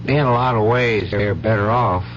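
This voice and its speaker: An actor playing a steady, plain-spoken man in a 1970s radio drama, measured and matter-of-fact as he consoles a widow. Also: lowish voice, natural voice. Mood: calm, frank. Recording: dull vintage radio recording, faint hum.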